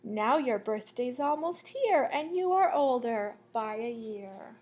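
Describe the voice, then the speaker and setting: A woman with a high voice reads aloud to children at a slow, deliberate pace. She has the manner of a teacher sharing a picture book, giving the lines a sing-song, storytelling shape. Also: animated, darkish tone. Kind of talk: children's read-aloud storytelling with asides to listeners.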